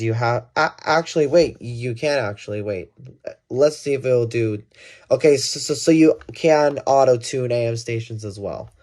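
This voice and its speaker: Mellow-voiced man demoing a gadget. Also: medium pitch. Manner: thinking aloud, self-correcting. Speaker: product reviewer. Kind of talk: casual hands-on gadget demonstration.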